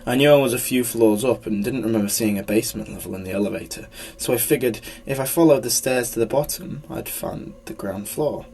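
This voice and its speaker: A man's voice: medium pitch, brisk, few pauses, a little mellow. A man reading a scripted first-person horror story as narrator. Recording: faint background noise, amateur recording.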